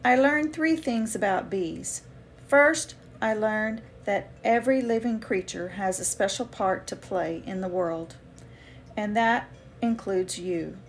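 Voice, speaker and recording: A woman reading a children's picture book aloud with expressive, deliberate phrasing for young listeners. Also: medium pitch, a little hiss or hum. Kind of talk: read-aloud children's storytelling.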